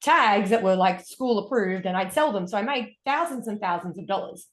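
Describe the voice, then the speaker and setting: Australian woman guest, candid and upbeat, mid pitch, roomy echo. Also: expressive, moderate pace. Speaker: guest, wellness coach. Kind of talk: casual interview storytelling.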